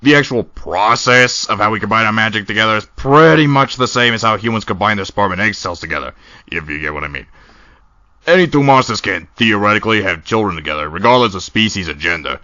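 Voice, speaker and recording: A man's voice: fairly low pitch, animated, quick pace, sharp tone, dry recording, muffled recording. A man voicing a laid-back, teasing skeleton brother in a comic dub, talking in a casual, wry drawl. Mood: amused, explanatory. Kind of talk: animated comic dub acting.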